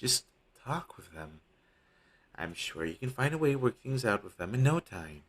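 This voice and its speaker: A man playing a devoted, apologetic talent agent in an audio roleplay, earnest and hesitant, with a husky, gravelly voice on a low-quality amateur recording. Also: very animated, moderate pace, a bit bright. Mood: relieved, reassuring. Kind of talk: scripted audio roleplay, intimate one-sided dialogue.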